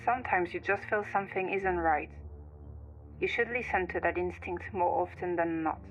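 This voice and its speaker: A woman's voice: on the low side, moderate pace, lo-fi sound. A woman reads a scripted first-person horror story as its narrator, like someone recounting a chilling tale. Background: music.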